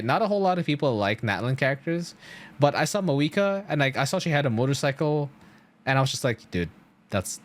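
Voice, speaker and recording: An enthusiastic man chats casually as a live game streamer, his pitch swinging up and down freely. His talk is slangy and rambling, with a middle-register voice. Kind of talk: casual chat with stream viewers.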